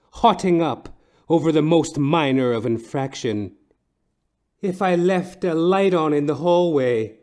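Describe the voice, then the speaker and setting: A man's voice: mid-range voice, dark tone. Man playing a haughty, bitter melodramatic rich wife. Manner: pompous, theatrical old-fashioned diction. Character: bitter, haughty, middle-aged woman, melodramatic wealthy wife. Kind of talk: noir detective audio comedy acting.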